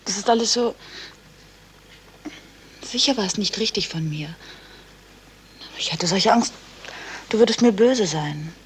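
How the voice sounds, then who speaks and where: A woman's voice: mid-range voice, animated, measured pace, pauses often, slight background noise, lightly acted. An actress playing an anxious, affectionate woman confiding in her partner in a 1970s radio crime play. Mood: anxious, apologetic. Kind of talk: 1970s German radio crime drama acting.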